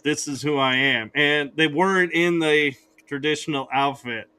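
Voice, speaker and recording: A man's voice: on the high side, fairly even intonation, normal speed, pushed and bright, smooth, low-quality recording. A man hosting a podcast, chatting casually in an easygoing, rambling way with restarts. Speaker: podcast host.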